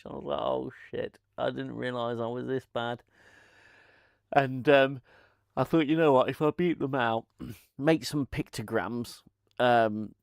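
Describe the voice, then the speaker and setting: A man's voice: mid-range voice, vocal fry, no room sound. Chatty man, dry close sound. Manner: rambling, chatty, frequent restarts and laughter. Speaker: channel host. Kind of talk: casual live-stream chat. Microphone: lavalier mic.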